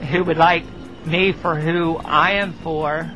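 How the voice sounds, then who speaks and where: A man in an old video personal ad talks very slowly and haltingly, pausing between words. His voice is bright and a little high. The clip sounds dull and lo-fi. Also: level tone, soft background music. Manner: halting, hesitant, many pauses.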